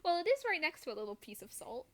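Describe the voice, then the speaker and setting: Joking higher-voiced woman chatting while gaming. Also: very animated, fast, background noise. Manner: joking, self-deprecating. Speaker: streamer playing a video game. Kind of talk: casual chat while gaming.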